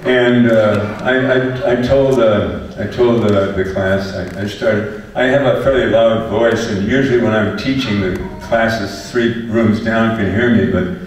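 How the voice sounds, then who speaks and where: A man's voice: fairly low pitch, steady tone, a little slow, few pauses, distant mic, slight background noise. Older man telling stories in an echoey hall. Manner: chatty anecdotes with many restarts. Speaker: panel moderator, former teacher. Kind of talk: storytelling on a panel. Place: event hall with audience.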